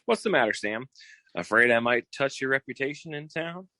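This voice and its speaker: An actor playing a cocky, boastful, theatrical private detective in a 1940s style radio comedy, pitching himself in a showy, wheedling way. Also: male voice, lively intonation, normal speed, pushed and bright, dry recording. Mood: eager showing off. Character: cocky, theatrical fast-talking male private detective eager for partnership.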